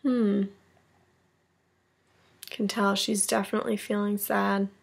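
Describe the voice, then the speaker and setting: A woman's voice: medium pitch, little pitch movement, slow pace, creaky, breathy edge. A woman teacher reading a children's story aloud and chatting encouragingly to her class, checking in with her listeners. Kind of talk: expressive children's read-aloud with asides.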